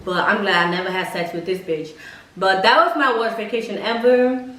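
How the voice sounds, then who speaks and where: A young woman casually telling personal stories to camera in a blunt, expressive, slangy way with plenty of swearing. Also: mid-range voice, normal speed. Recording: echoey room, mic at a distance.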